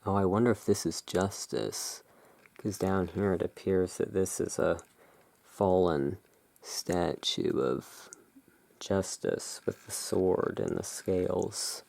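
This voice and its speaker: A low voice with a lot of fry moves very slowly through a gothic ASMR roleplay. The delivery is hushed and slightly theatrical, shifting between reading cards aloud and relaxed commentary. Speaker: ASMR creator, tarot deck reviewer.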